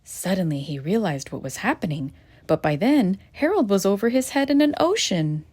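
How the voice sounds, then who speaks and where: A woman reading a children's picture book aloud in a gentle, expressive storytelling manner. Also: mid-range voice, moderate pace, slightly rough. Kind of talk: children's read-aloud narration.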